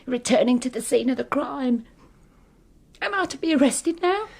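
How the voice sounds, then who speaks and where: Fretful young Englishwoman, high-pitched. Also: lively intonation, normal speed, creaky, slightly rough, exaggerated voice. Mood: resigned, pleading. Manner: fretful, questioning. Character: frightened, questioning grown daughter on the run. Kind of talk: audio drama acting.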